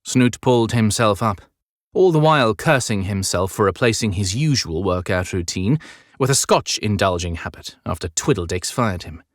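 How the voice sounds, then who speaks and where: A man's voice: fairly low pitch, lively intonation, moderate pace, dry recording. Dry, close male audiobook narrator. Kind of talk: dramatic scripted narration. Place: dry recording studio.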